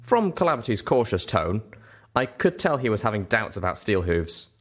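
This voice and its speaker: Male audiobook narrator, lower-pitched, delivering scripted first-person fiction. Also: very animated, moderate pace, fairly bright tone.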